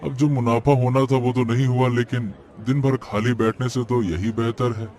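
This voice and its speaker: A man's voice: mid-range voice, steady tone, moderate pace, darkish tone, lightly acted. An actor playing a humble, earnest working man in a cartoon story, speaking politely in a coaxing, pleading way. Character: earnest humble male vegetable seller and eatery cook, pleading. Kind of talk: Hindi cartoon story voice acting. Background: background music.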